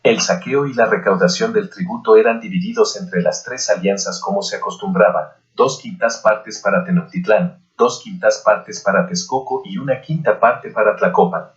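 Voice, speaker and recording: Low-voiced man reading an audiobook. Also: normal speed, few pauses. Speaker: audiobook reader. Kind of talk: scripted narration of a historical novel. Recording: dull, lo-fi voice recording.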